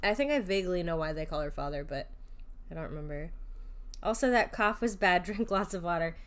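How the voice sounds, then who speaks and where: A woman's voice: fairly low pitch, animated, a little fast. A young woman streamer, recovering from sickness, giving casual, playful reaction commentary on a game in slangy speech. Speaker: streamer, vtuber.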